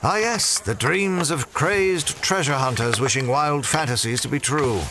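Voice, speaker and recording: An actor playing a cocky, flippant adventurer-archaeologist in an audio drama, with a breezy, teasing, self-assured way of talking. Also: male voice, mid-range voice, unhurried, noisy background, natural voice. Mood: wry. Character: cocky, flippant male adventurer-archaeologist, wry skeptic of legends. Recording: loud cinematic music behind the voice.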